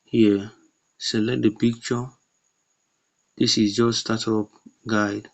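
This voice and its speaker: A man's voice: fairly low pitch, flat delivery, takes it slowly, low-quality recording. A man narrating a step-by-step app tutorial in plain, short instructions.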